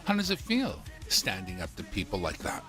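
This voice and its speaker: A man's voice: sits low, wildly swooping pitch, normal speed, sharp tone, soft background music, heavily acted. A man playing an earnest, supportive teenage friend in a dramatic script reading.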